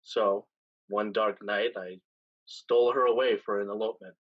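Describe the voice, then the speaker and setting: A man plays a seasoned pirate captain with a husky voice, heard on a slightly muffled recording. He speaks solemnly and deliberately, leaving weighty pauses as in a stage drama, weary of command yet tender. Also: on the low side, steady tone. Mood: rueful storytelling. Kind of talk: staged reading of a stage play.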